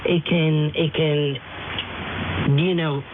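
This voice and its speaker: Halting woman on very noisy line. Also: sits low, fairly even intonation. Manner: halting, repeats words for emphasis. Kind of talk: explanatory radio conversation. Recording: very noisy, tinny phone-like radio line.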